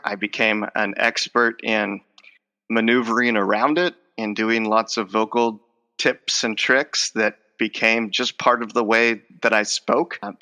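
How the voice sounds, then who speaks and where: A man's voice: on the low side, pushed and bright. A middle-aged man who stutters, with word repetitions and many fillers, speaking reflectively as an interview guest. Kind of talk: conversational interview.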